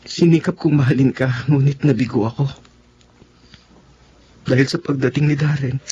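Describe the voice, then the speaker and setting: Guilt-ridden Filipino man, played by an actor, mid-pitched. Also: fairly even intonation, moderate pace, mellow, slight background noise, close to an everyday voice. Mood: remorseful. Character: guilt-ridden, apologetic young man confessing gently. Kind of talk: Filipino radio drama acting. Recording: dull lo-fi radio sound with music.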